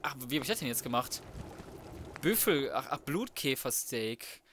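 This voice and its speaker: A man's voice: medium pitch, very animated, normal speed, a bit bright, slight background noise. A man giving casual, slangy and crude commentary on a video game, talking to himself as he plays. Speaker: let's play gamer.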